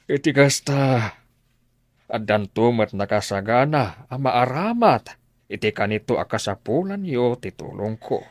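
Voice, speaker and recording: Slow, swooping wealthy old Ilocano gentleman played by an actor. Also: mid-range voice, expressive, no echo, clean studio sound, close to an everyday voice. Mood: advising. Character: wealthy elder benefactor giving measured business advice. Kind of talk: Ilocano radio drama acting.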